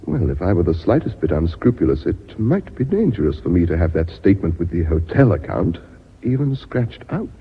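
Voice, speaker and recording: An actor with a British accent playing a dry, unflappable company official in a radio drama, formal and wry. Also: male voice, sits low, sing-song, a little fast, husky, full character voice. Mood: weary, firm. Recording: dull reverberant old radio recording.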